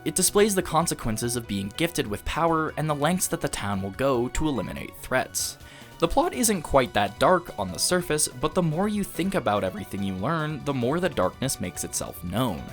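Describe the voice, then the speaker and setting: A man reading a scripted video essay as an anime reviewer, analytical and articulate in formal wording. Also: medium pitch. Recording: music behind the voice. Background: background music.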